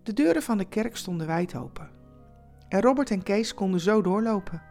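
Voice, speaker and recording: A woman's voice: mid-range voice, lively intonation, normal speed, vocal fry, a little mellow, faint music behind. A woman storyteller reading a scripted Christmas tale as dramatic narration.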